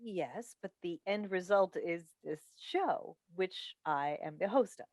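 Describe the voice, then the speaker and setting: A woman playing a defensive daytime talk-show host and mother in a staged play reading, with a halting, earnest delivery. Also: lowish voice, expressive, darkish tone, no echo, clean studio sound. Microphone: video call microphone.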